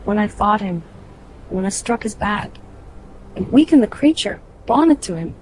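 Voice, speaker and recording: A woman's voice: medium pitch, animated, unhurried, darkish tone, natural voice, rough recording. A woman playing an intense, wounded young warrior daughter in an audio drama. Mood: grave recounting. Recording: clear background noise.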